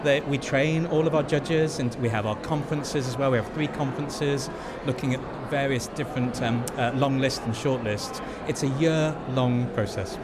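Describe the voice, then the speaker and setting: A man's voice: mid-range voice, moderate pace. A man giving earnest, explanatory interview answers at an event venue, stressing points by repeating them. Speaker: head of awards judging. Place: event venue before ceremony. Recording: noisy busy venue ambience.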